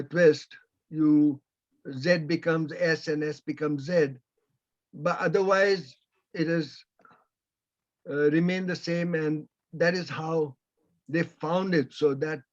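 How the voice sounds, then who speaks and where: A man whose first language is Persian answers audience questions on a video call, slowly and with many pauses. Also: a little high. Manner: slow, halting, with many pauses. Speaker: invited speaker. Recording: dull lo-fi video call audio.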